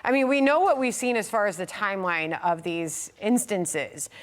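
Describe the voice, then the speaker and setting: A woman's voice: medium pitch, moderate pace. Conversational female news anchor. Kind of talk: live news interview, conversational.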